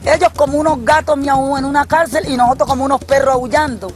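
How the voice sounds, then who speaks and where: A man's voice: very high-pitched, steady tone, quick pace, soft background music. A man speaking in a vivid, colloquial, complaining manner.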